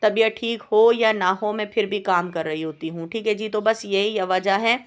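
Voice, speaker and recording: A woman's voice: mid-range voice, moderate pace. A woman with a sore, coughing throat, chatting in a rambling, plaintive voice-over for her cooking channel. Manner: plaintive, complaining, rambling with hesitations. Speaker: home baker and cooking channel host. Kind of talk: voice-over vlog chat. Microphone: home voice-over mic.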